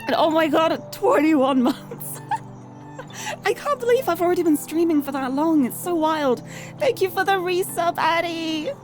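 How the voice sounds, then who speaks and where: High-voiced British woman streamer. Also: expressive, a little slow, a little mellow. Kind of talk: live game stream chat and read-aloud narration. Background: music.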